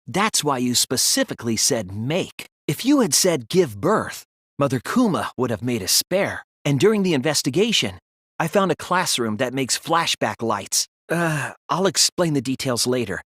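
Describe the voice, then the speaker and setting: A man voicing an earnest, analytical teenage boy detective in an English video game dub, speaking in a deliberate, resolute way. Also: medium pitch, sing-song, a bit bright, husky, dry recording, clean studio sound. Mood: pointed, accusing. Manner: deliberate, earnest, explanatory delivery.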